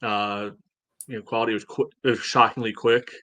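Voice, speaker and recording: A man's voice: lowish voice, fairly even intonation, a little fast, pushed and bright, no room sound. A man in his forties chatting casually as a podcast co-host, in a hesitant, rambling way. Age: 40s. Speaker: soccer fan podcast co-host. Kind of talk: casual chat.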